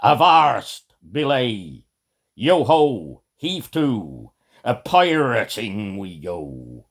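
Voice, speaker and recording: A man's voice: medium pitch, big pitch swings, drawn-out words, heavily acted. An older man with an English accent voicing a rowdy storybook pirate, bawling out a seafaring song. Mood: rowdy, menacing. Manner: bawling, declamatory.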